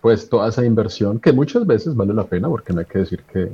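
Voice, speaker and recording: Bogotá guy in his 30s, deep dark husky voice, relaxed storyteller, dull lo-fi line. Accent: Bogotá Colombian Spanish. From Colombia. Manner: relaxed storyteller, slowing for emphasis. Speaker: guest. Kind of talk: radio interview.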